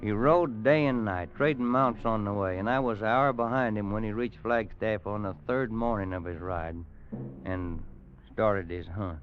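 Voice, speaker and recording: A low-voiced American Western man, played by a radio actor, tells his grim tale with folksy gravity and worry. The old radio recording is muffled, with music behind him. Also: normal speed, natural voice. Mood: grave, haunted. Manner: folksy, grave dramatic storytelling. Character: Dan, weary, worried Western man narrating a grim tale. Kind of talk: 1950s radio drama acting.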